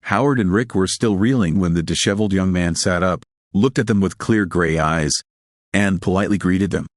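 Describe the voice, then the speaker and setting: A man's voice: sits low, normal speed, no echo, clean studio sound. A man reading scripted dramatic narration of a science fiction horror story.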